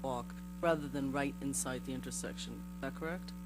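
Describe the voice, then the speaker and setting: A hoarse man with a bad cold questions a presenter at a village board meeting, apologetic and rambling. His voice sits at a middle pitch, and a clear mains hum runs under the recording. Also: a little fast. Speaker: board member asking questions.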